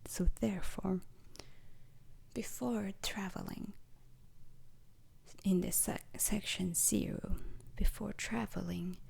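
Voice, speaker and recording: A woman plays a grave, retired space-mission veteran in an ASMR roleplay. She lectures recruits in a breathy, husky whisper with a crackling fry. The delivery is very slow, formal and deliberate. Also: mid-range voice, fairly even intonation, darkish tone, full character voice. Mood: measured, solemn. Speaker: instructor.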